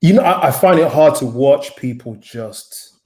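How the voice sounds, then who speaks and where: A man's voice: mid-range voice, animated, measured pace, a little mellow. A middle-aged man hosting a podcast interview over a video call, asking questions in an easygoing way. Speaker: podcast host. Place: home room with pale wall. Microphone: large studio mic in front.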